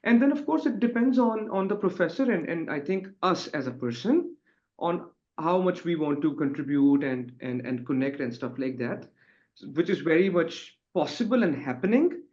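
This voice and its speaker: A man's voice: a little high, animated, normal speed, a little mellow. A man speaking informally as a student on a panel, rambling a little and adding tag questions. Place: online webinar call. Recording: dull, muffled online call audio.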